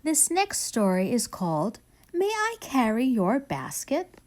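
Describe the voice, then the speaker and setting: A woman reads spooky stories aloud in a fairly high voice. Her delivery is dramatic and deliberate, very slow, with a lot of melodic movement from phrase to phrase. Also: wildly swooping pitch, darkish tone. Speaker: library story time reader. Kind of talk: reading spooky folk tales aloud to children.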